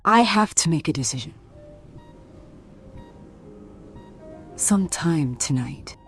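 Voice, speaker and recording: An actress in a radio play as a distressed married woman confronting a man, husky and breathy, with halting, dramatic pauses. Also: sits low, lively intonation, soft background music. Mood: brooding, anguished.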